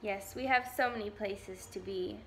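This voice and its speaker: A woman's voice: mid-range voice, normal speed, a little hiss or hum, poor audio. A young adult woman reading a picture book aloud to preschoolers as a volunteer, recorded indoors. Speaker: volunteer story reader.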